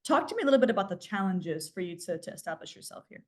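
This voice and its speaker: A woman podcast host who talks very quickly at a medium pitch. Her delivery is upbeat and emphatic, full of enthusiasm as she interviews a guest. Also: big pitch swings.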